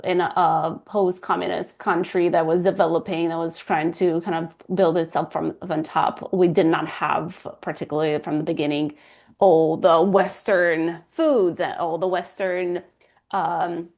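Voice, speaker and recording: A woman's voice: on the low side, fairly even intonation, normal speed, a little mellow. A woman in her thirties from Romania with a Romanian accent, a guest fertility coach talking earnestly in an interview, often restarting as she searches for words. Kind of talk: casual interview talk.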